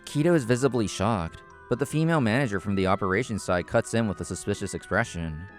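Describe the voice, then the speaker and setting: A man narrating a scripted story in the first person, in a measured, explanatory manner. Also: on the low side, faint music behind. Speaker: narrator and main character of a manga video.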